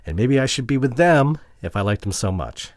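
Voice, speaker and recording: A man playing a regretful, wounded boyfriend pleading with his partner in an ASMR audio drama, halting and emotional, often dropping to hushed tones. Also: mid-range voice, sing-song, no room sound, clean studio sound, natural voice. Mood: wounded, dejected.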